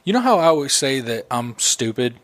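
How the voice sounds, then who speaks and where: A man with a mid-pitched voice hosts a comedy podcast. He talks casually and profanely, telling crude stories in an animated, conversational way. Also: fairly quick. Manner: crude, swearing, animated storytelling. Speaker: podcast host.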